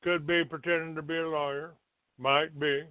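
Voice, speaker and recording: A man hosting a talk show, delivering a halting, grumbling monologue with blunt language, partly reading from a written notice. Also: fairly high pitch, level tone, smooth. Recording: thin phone-like band, roomy echo.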